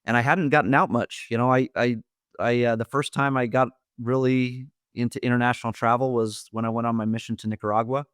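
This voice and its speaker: A man from Idaho speaks as a podcast guest, earnest and a little halting. He often restarts his sentences and leans on fillers, and the recording sounds dry and close. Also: medium pitch, steady tone, fairly bright tone, clean tone, no echo. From Idaho, USA. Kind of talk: storytelling interview.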